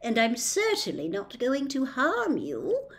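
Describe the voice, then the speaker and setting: A woman voicing a pleasant little old lady witch in a storybook, with measured, genteel phrasing and a small voice. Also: a little high, huge pitch swings, a bit bright, exaggerated voice. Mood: reassuring.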